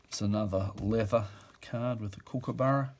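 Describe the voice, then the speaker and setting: A man's voice: fairly low pitch, steady tone, moderate pace, a little mellow, a little hiss or hum. A man describing auction items in casual, off-the-cuff commentary, musing with fillers and self-corrections, recorded indoors at a table.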